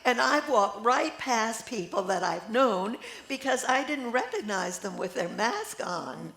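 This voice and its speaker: A woman's voice: on the high side, big pitch swings, measured pace, vocal fry, fairly bright tone, heavily acted. An older woman reading the part of a sociable friend catching up, in an amateur play. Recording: echoey room with faint noise.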